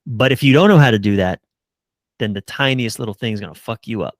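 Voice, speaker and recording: A man's voice: lowish voice, fast talker, dry recording, clean studio sound. A middle-aged man giving earnest, casual answers as a relationship coach in a conversational interview. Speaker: relationship coach, podcast guest.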